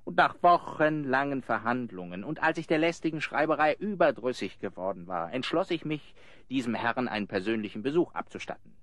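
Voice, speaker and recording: A boastful con man of a suitor played by a man in a radio play. He spins his tale grandly in a medium-pitched, very lively voice, recorded dry. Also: fairly quick, no echo, close to an everyday voice. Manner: grandiose, storytelling.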